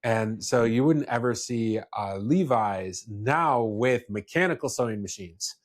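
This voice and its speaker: A man's voice: medium pitch, animated, a little slow. A man speaking casually as a podcast host, explaining his views with restarts and asides.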